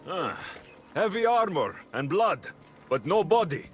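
A man's voice: high-pitched, big pitch swings, unhurried, fairly bright tone, faint music behind, heavily acted. An actor voicing a brash young male warrior in a video game, blunt and cocky. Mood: surprised.

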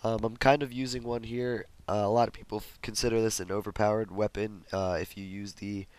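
A young man with a sick, hoarse voice, rambling through casual gameplay commentary with many fillers and restarts. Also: lowish voice, flat delivery, fairly quick, no echo. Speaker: gaming commentator.

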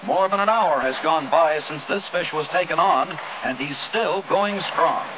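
A man's voice: on the high side, a little slow, highs cut off, noisy background. An American man reading scripted 1950s newsreel-style narration in a dramatic, folksy showman's manner, on an old film soundtrack. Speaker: documentary narrator. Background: loud background music.

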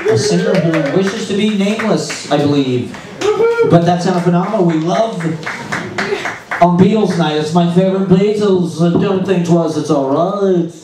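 A man's voice: sits high, noisy background. A man hosting an open mic, hyping up and introducing the next acts over the house system. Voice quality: hypes acts up, brisk announcing. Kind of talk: emceeing between acts. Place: small comedy club. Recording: echoey club PA, loud crowd, far mic.

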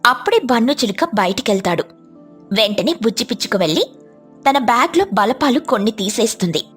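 Casting: female narrator for kids' tales, high voice, scripted storytelling over music. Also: lively intonation, a little fast.

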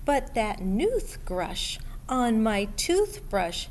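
A middle-aged woman reads a picture book to young children. Her delivery is extremely unhurried, with deliberate pauses and animated rises and falls in pitch. She speaks indoors into a webcam, with slight room noise behind her. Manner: expressive, deliberate, addressing young listeners with pauses. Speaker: school principal reading aloud to children. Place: school library room, indoors. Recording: steady background room noise.

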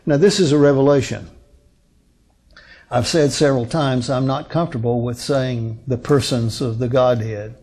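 A man in his sixties preaching as a pastor, teaching from scripture in a deliberate, emphatic manner with rhetorical questions and pauses. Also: medium pitch, steady tone, darkish tone. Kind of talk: sermon, expository Bible teaching from a lectern. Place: indoor lectern on a curtained stage.

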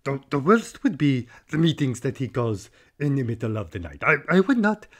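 A man voicing a friendly, excitable furry cartoon monster who hosts a children's show with enthusiasm and a husky, gravelly voice. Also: mid-range voice, moderate pace. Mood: chatty, encouraging. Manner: enthusiastic, encouraging. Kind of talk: upbeat children's presenting.

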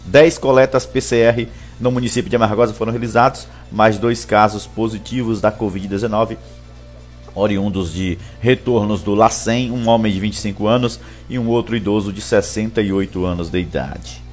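Male news presenter, 50s, low voice, live local bulletin over a music bed. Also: fairly even intonation, moderate pace, darkish tone. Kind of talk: reading local news, greeting viewers live. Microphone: headset.

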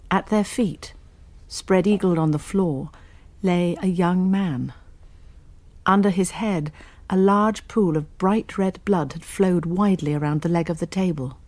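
An actress playing a composed college nurse and amateur sleuth who narrates a British radio drama. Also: female voice, fairly low pitch, darkish tone. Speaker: narrator and lead character.

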